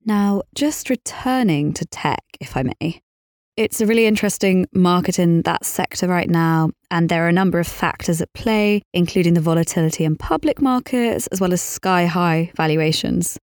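A woman's voice: fairly low pitch, normal speed, a little mellow, dry recording, clean studio sound. A woman hosting a business podcast, speaking in a polite, articulate and formal interviewing manner.

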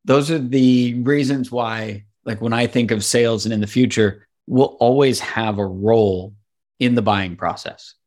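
A man's voice: mid-range voice, a little slow, a little mellow. A man hosting a podcast interview, speaking thoughtfully with hesitant restarts and open enthusiasm. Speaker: podcast host.

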